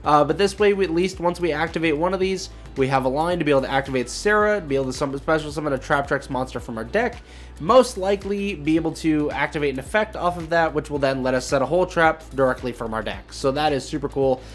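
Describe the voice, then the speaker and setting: A man's voice: fairly high pitch, quick pace. Chatty young man commentating over music. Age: young adult. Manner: chatty, rambling, thinking aloud. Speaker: gaming video host. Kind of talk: casual gameplay commentary.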